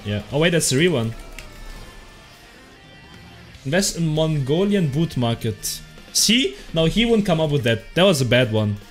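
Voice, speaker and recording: A man's voice: on the high side, moderate pace, soft background music. Young German man streaming a game. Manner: excitable, swears freely, laughs at chat. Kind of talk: casual live game commentary, reading chat. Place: small room at home.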